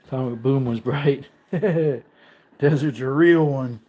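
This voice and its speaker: A man's voice: slow pace, warm tone. Chatty man in his thirties. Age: 30s. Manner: rambling, chatty, reads out viewer comments; high baby-talk when calling his dog. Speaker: livestream host. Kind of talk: casual livestream chat. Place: home man cave.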